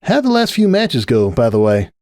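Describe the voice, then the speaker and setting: A man's voice: medium pitch, huge pitch swings, moderate pace, darkish tone, no echo, studio quality, pitched above the performer's own voice. Man voicing a folksy, teasing cartoon farm mare. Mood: friendly. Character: female cartoon pony, hardy teasing good-natured farm athlete.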